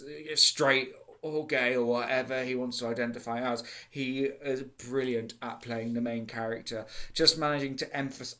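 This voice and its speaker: A man's voice: mid-range voice, a bit bright. A man with a Welsh accent giving a casual, enthusiastic spoken theatre review, rambling with many fillers and restarts.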